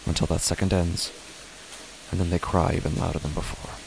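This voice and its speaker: A man playing an uneasy father who narrates his own horror story in a deliberate, measured way with dramatic pauses. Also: sits low, little pitch movement, gravelly, background noise. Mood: grave, uneasy. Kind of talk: scripted first-person horror narration.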